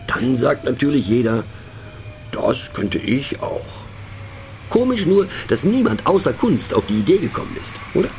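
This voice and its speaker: A man's voice: mid-range voice, big pitch swings, normal speed, music in the background. An actor playing a middle-aged male narrator in a radio play monologue, musing and mocking, thoughtful and hesitant, often turning to question the listener. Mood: wry, pondering. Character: musing, mocking male narrator, rambling to fellow train passengers.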